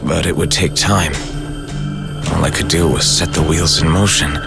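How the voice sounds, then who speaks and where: An actor playing a haunted amnesiac man, narrating fragmented memories tersely and gravely in a game flashback. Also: extremely low pitch, steady tone, moderate pace. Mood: brooding. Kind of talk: slow brooding scripted flashback narration. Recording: dark cinematic music behind. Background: ominous music.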